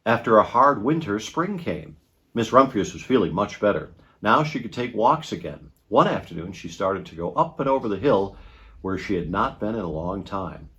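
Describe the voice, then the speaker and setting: Low-voiced man, very animated storybook reader. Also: normal speed. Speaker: storyteller, TV weatherman reading aloud. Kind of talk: expressive children's storybook reading.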